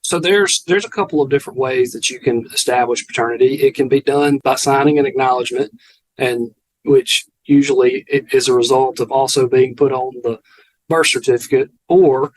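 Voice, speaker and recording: Southern american accent, male attorney, mid voice, halting, repeats words. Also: mid-range voice, rough recording. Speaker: family law attorney, guest. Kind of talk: explaining legal procedure in conversation.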